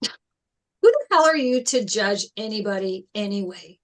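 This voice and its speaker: A woman's voice: mid-range voice, expressive, moderate pace, close to an everyday voice. A woman playing an indignant teenage boy wrestler in a script table read, blunt and confrontational. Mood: contemptuous.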